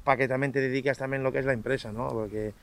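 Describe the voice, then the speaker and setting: A man's voice: mid-range voice, steady tone, racing, a bit bright. A man in his thirties from Portugal, a circus clown, chatting in an interview with some hesitant starts. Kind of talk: casual interview answers.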